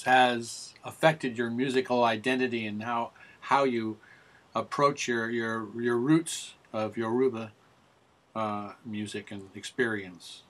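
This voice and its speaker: A man's voice: medium pitch, a bit bright. A male interviewer for a new-music group who asks long, rambling questions with many restarts over a video call.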